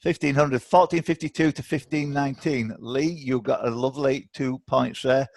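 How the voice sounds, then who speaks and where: A man's voice: mid-range voice, fairly even intonation, moderate pace, vocal fry, dry recording. A man hosting a live online quiz, chatty and encouraging as he reads questions aloud. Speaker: quiz host. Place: online video call.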